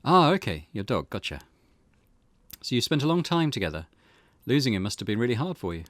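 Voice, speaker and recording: A man's voice: medium pitch, very animated, a little fast, fairly bright tone, no echo, clean studio sound, voice pushed down. A man reading the part of an earnest, polite young man, considerate and full of questions, in a visual novel. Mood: sympathetic. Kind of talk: visual novel character read-aloud.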